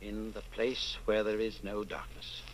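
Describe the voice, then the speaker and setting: A man playing a calm, authoritative underground leader, speaking gravely and deliberately in an old-time radio drama. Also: on the low side, low-quality recording. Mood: calm, instructive. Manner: measured, deliberate, solemn.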